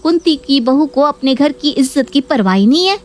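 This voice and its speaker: A woman's voice: sits high, expressive, moderate pace, voice pushed up. A woman doing a scheming, complaining young daughter. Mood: accusing. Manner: complaining, accusing tone. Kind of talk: Hindi audio story acting.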